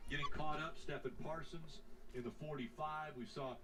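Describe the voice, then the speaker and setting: A man's voice: a little high, fairly even intonation, moderate pace, noisy background. Male race announcer heard over TV. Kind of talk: broadcast commentary. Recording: distant television audio with music underneath.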